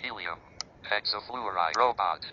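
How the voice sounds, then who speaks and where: A man's voice: lowish voice, drawn-out words. A synthetic male screen-reader voice reading out interface labels in a clipped, robotic way. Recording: muffled, noisy phone speaker playback.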